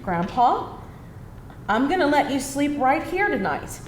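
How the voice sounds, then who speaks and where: A woman's voice: high-pitched, very animated, unhurried. A woman playing a worried, soothing granddaughter in a live screenplay table read. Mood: gentle, strained. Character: worried granddaughter caring for frail grandfather, also as child. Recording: roomy, faintly noisy live stage reading.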